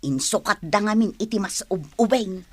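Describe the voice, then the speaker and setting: A woman's voice: medium pitch, lively intonation, normal speed, no room sound, lightly acted. A woman playing a chatty, appeasing friend who loves gossip, with a Filipino accent, in a radio comedy drama. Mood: gossipy. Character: placating, gossipy female friend, eager to please.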